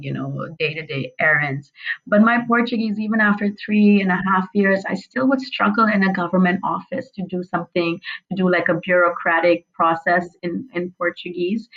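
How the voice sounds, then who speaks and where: A woman's voice: on the low side, lo-fi sound. A woman in her thirties whose first language is Urdu, a guest author speaking reflectively in a conversational interview. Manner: reflective, speaks in long flowing sentences.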